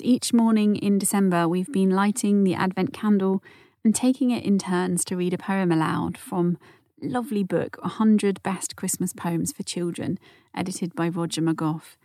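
Soft-spoken woman podcast host, dry sound. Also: on the low side, steady tone, moderate pace, no echo. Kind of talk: gentle podcast narration reading listener messages.

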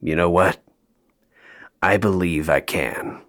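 An actor playing a bitter, grieving North Pole elf in an audio play, sarcastic and emotionally open. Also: male voice, deep voice, slow pace, fairly bright tone. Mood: subdued, grateful.

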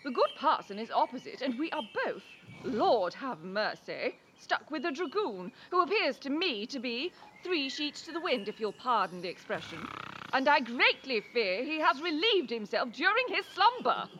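A woman's voice: sits high, very animated, normal speed, pushed and bright, slight background noise, full character voice. An actress playing a prim, haughty married lady traveller of the Georgian era, complaining formally and disdainfully in an audio drama. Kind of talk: period audio drama acting.

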